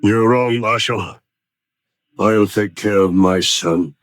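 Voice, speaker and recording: An actor playing a stern, hot-tempered older rancher father in a 1950s radio western, gruff and emotional. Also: on the low side, takes it slowly, no echo. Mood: stricken.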